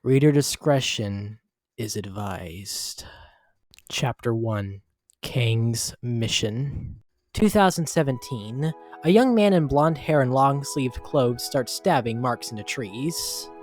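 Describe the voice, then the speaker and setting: A man's voice: mid-range voice, expressive, slow pace, darkish tone, dry recording. A man reading scripted story narration as the narrator. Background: background music.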